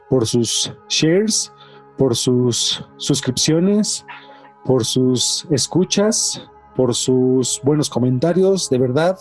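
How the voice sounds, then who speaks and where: A Mexican man hosts a podcast in a fairly high but mellow voice. He tells stories casually and emphatically, repeating words for effect, with faint music behind him. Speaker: podcast host. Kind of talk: casual, animated storytelling.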